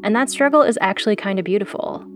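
A woman host narrates a scripted audio documentary with a dry, sardonic edge. She drops casual slang into polished delivery. Her pitch sits in an ordinary middle range. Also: fast, faint music behind. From born in South Korea, raised in the US. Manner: wry, sardonic, pop-culture slang. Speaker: producer and host.

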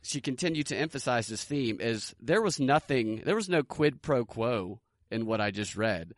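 A man with an American accent co-hosts a political podcast. He talks in a casual commentary style at an average male pitch. The recording is dry, with hardly any room sound. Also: animated, normal speed, dry recording. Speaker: podcast co-host.

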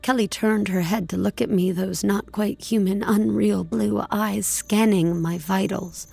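A woman playing an uneasy space colonist, narrating her journey in a measured, deliberate way for an audio drama. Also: mid-range voice, steady tone, vocal fry, a little mellow, breathy edge, dry recording, faint music behind, heavily acted. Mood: foreboding. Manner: measured, deliberate, brooding delivery. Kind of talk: scripted audio drama narration, first-person.

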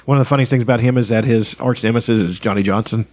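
A man co-hosting a casual podcast, easygoing and dry in his humor. He readily agrees with the others and chats at an even, relaxed clip. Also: mid-range voice, very fast. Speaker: podcast co-host. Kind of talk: casual chat.

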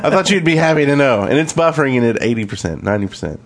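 A man with a creaky, gravelly voice co-hosts a podcast in casual banter. He talks fast, and his pitch moves around in a lively way. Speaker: podcast co-host.

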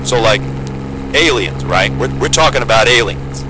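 A man acts out a down-to-earth helicopter pilot in a naturalistic audio drama. He is plain-spoken and wryly joking, with an average-pitched male voice. Loud cabin engine noise hums steadily behind him. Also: animated, normal speed, lightly acted. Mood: wry, skeptical.

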